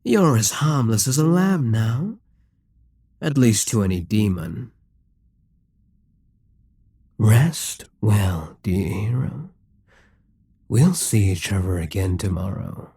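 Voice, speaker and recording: A man's voice: on the low side, very animated, slow pace, pauses often, warm tone, slightly rough, dry recording, studio quality, full character voice. A man voicing a possessive, scheming male demon king in an audio roleplay, teasing and condescending. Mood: smug.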